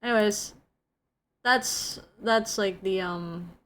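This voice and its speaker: A woman's voice: mid-range voice, slow pace, fairly bright tone. Chatty young Indonesian woman streamer. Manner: chatty, lots of "like", giggly storytelling. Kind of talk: casual chat with viewers.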